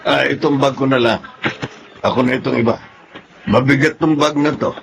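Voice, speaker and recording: A man's voice: mid-range voice, steady tone, normal speed, close to an everyday voice. An actor with a Filipino accent playing a genial, teasing middle-aged father in a radio drama. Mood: fond, teasing. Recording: clear background ambient noise.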